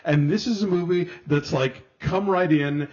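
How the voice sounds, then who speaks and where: Higher-voiced man chatting, slightly dull audio. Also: normal speed, darkish tone. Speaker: film critic co-hosting a review show. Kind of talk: casual panel review chat.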